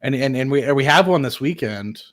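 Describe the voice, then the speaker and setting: A man's voice: mid-range voice, expressive, moderate pace, a bit bright. Casual male livestream host thinking aloud. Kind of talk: casual live commentary while reading documents.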